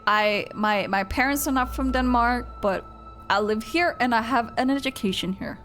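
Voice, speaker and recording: A young woman streaming her reaction to a game story, chatting casually and swinging between squeals and flat rambling asides. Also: fairly high pitch, moderate pace. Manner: excitable, gasps and squeals, then drops to flat rambling. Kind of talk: casual live reaction commentary. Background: game music.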